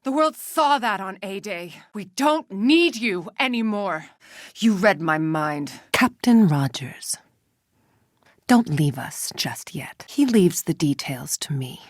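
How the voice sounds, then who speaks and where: A woman's voice: lowish voice, a little mellow, dry recording. A haughty, menacing female scientist from a video game. She speaks with precise, condescending control and cold self-righteousness. The sound is very dry, with no room around the voice. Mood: mocking, self-righteous. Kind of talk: video game voice acting, scripted dialogue and briefings.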